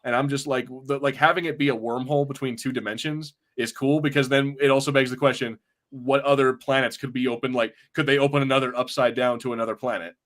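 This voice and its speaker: A man with a medium-pitched voice discusses pop culture as a podcast co-host. He rambles casually and haltingly, leaning heavily on "like" as he works through his point. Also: fairly even intonation, fairly bright tone. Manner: rambling, halting, heavy use of "like".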